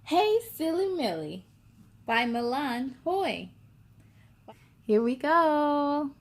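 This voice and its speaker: A woman hosting a children's storytime, reading a picture book aloud in an excited, expressive, giggly way. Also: sits high, very slow.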